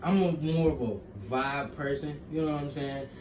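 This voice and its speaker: A man's voice: a little high, steady tone, moderate pace, a little mellow. A young man from Mississippi with a Southern accent, speaking in laid-back slang and often adding "you know what I'm saying". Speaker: guest wrestler. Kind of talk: casual interview. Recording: far-off echoey room with faint noise.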